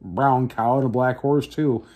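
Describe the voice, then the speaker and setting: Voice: medium pitch, measured pace, a little mellow, natural voice. A storyteller voicing a small boastful gingerbread man who chants his taunts in a sing-song.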